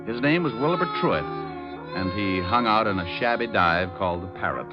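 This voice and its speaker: An actor playing a wry, slangy, hard-boiled private detective in 1940s radio noir style. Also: male voice, fairly low pitch, lively intonation, moderate pace, dull audio, loud music behind the voice, lightly acted. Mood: wry narrating.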